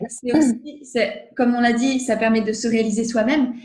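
A woman's voice: a little high, steady tone, moderate pace, a little mellow, echoey room. A woman hosting an interview, agreeing with her guest in an enthusiastic, casual way. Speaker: podcast host.

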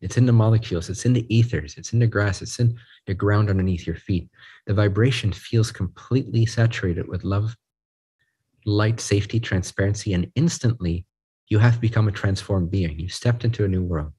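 Male meditation guide, lower register with a slightly breathy rasp, soothing and unhurried, leaving space between short phrases. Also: dark tone.

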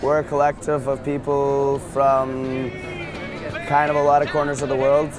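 A man's voice: mid-range voice, completely flat delivery, moderate pace, crowd noise. A man from Texas, a busker, talking casually and ramblingly in a documentary interview. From Texas, United States. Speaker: busker in a street music collective. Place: busy outdoor city street. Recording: loud music behind, street noise. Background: music and traffic.

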